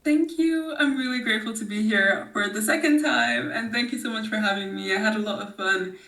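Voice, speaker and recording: A woman from Nigeria, a podcast guest talking in a lively, expressive way and laughing often. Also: fairly high pitch, brisk, vocal fry. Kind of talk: casual conversation. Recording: roomy, distant mic.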